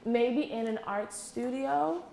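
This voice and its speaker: A woman with a fairly high voice reads aloud like a preschool teacher. She is patient and encouraging, pausing to put questions to small children. The room is clearly echoey, with faint background noise. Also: mellow. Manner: repeats children's answers and asks prompting questions. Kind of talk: interactive read-aloud to young children. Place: indoor classroom with children.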